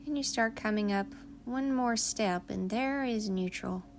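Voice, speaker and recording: A woman leading a guided meditation in a soothing, unhurried manner, leaving long pauses between phrases. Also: a little high, a little hiss or hum.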